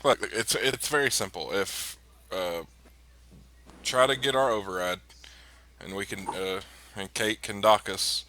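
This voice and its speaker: Deep-voiced, hesitant man at a game table. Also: measured pace, long pauses, pushed and bright, slight background noise. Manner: hesitant, repeats his words. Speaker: player.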